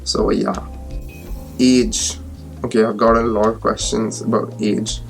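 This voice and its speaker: Gravelly-voiced young man from India. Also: fairly low pitch, a little slow, faint music behind. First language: Hindi. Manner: relaxed, thinks aloud with fillers. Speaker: medical student YouTuber. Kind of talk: casual Q&A vlog.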